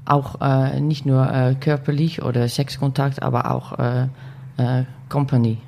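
A Dutch woman in her forties with a Dutch accent, a podcast guest speaking halting German, searching for words with many fillers. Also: a bass voice, little pitch movement, vocal fry, dark tone, a little hiss or hum. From Netherlands. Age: 40s. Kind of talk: casual interview chat.

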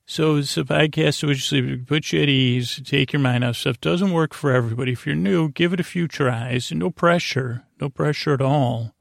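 A man with a creaky voice hosting a bedtime podcast, meandering and halting through a rambling monologue with pauses and tangents. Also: on the high side, no echo, clean studio sound. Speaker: podcast host. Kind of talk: rambling bedtime monologue to help listeners sleep.

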